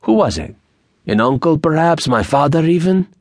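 Man acting sly bandit, pitched up. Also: animated, moderate pace, darkish tone, no echo, clean studio sound. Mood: shrewd, menacing. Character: sociable, menacing Mexican bandit leader, shrewd and mocking.

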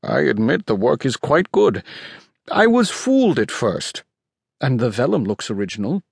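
A man's voice: medium pitch, sing-song, moderate pace, creaky, breathy edge, no echo, studio quality, full character voice. A man voicing a pompous, theatrical old manuscript expert in an audiobook, out of breath and emphatic, rolling his R. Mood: grudging. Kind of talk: audiobook character voice.

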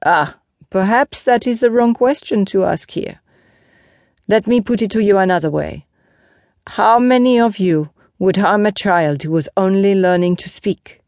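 A woman voicing a calm, gently reproving male horse tamer in a storybook, measured, earnest and instructive. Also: medium pitch, no echo, studio quality.

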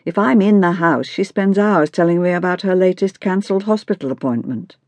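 A woman voicing an orderly, patient but mildly exasperated mother in audiobook dialogue, measured and dryly reproving. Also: on the low side, darkish tone, dry recording, voice pushed up, close to an everyday voice.

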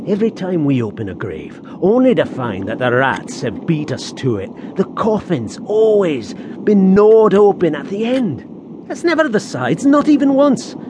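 An actor playing a wry, superstitious gravedigger's sidekick with an in an audio horror drama. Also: male voice, sits high, sing-song, moderate pace. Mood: uneasy, spooky. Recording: clear background ambience noise.

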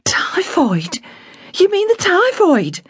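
Woman reading as a high-voiced defiant young girl, urgent and pleading, audiobook read, dry close sound. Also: animated, normal speed, dry recording. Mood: shocked. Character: spirited thirteen-year-old working girl, defiant.

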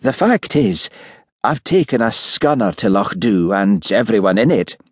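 A man voicing a gloomy, huffy village policeman with a sibilant Scottish Highland accent in an audiobook. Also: sing-song, measured pace, breathy edge, no room sound, studio quality, heavily acted. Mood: glum. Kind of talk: audiobook character voice.

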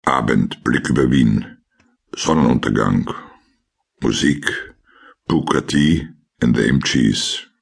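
A man with an extremely low, husky voice narrates a radio play. He reads scene directions slowly, in short matter-of-fact sentences.

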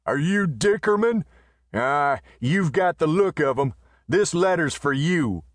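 A man voicing a big, red-faced male messenger who shouts belligerently in a western story. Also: a little high, lively intonation, unhurried, dry recording, voice pushed up. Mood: gruff.

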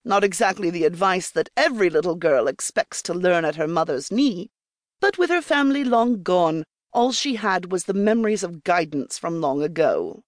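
A woman's voice: fairly low pitch, animated, moderate pace, no room sound, studio quality. A woman narrating a scripted fiction audiobook.

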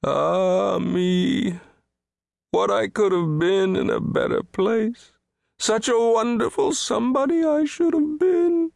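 A weepy melodramatic storybook creature, way up high, drawn out wailing, feels so sorry for himself. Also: male voice, gravelly, darkish tone, no echo, studio quality, exaggerated voice. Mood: anguished self-pity. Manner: theatrical howling and sighing, drawn-out lament. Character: self-pitying wailing outcast creature, male, melodramatic and mournful. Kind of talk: storybook character voice.

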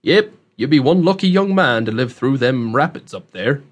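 A man's voice: mid-range voice, lively intonation, normal speed, close to an everyday voice. A man narrating an audiobook, voicing a scruffy, blunt old hermit who talks in a matter-of-fact rustic dialect. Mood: amused, folksy.

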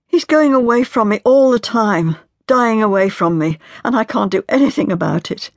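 A woman reading an audiobook, voicing a grief-stricken young woman in her twenties with a pleading, tormented voice that strains high. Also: lively intonation, moderate pace, dry recording, clean studio sound. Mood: anguished. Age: 20s. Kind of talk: audiobook character voice.

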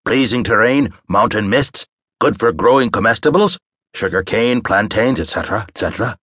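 A man doing a booming piratey old bar owner. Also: expressive, moderate pace, fairly bright tone, husky, no room sound, clean studio sound. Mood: theatrical. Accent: Irish-tinged pirate. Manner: dramatic, theatrical, booming.

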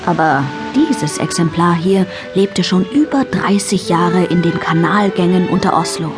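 A woman's voice: fairly low pitch, unhurried, music in the background. A woman narrating a scripted radio play for children as its storyteller.

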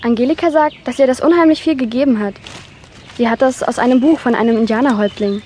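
A woman's voice: on the high side, fast, warm tone, slight background noise, natural voice. A woman playing a curious, dreamy young girl in an audio drama, insistent and earnest. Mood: earnest, enthusiastic. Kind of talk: German audio drama acting.

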